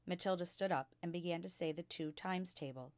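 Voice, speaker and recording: Lady reading a kids book out loud, measured n expressive storyteller, dry sound no room echo. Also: on the low side. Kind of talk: children's book narration, read aloud.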